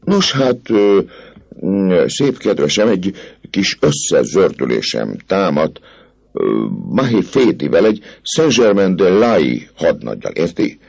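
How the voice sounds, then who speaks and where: Casting: a bragging, evasive army captain, stumbling over fillers, voice pitched up, as a male reader puts him on. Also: sing-song, vocal fry, darkish tone, husky, exaggerated voice. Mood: boastful. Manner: hesitant, stammering with fillers.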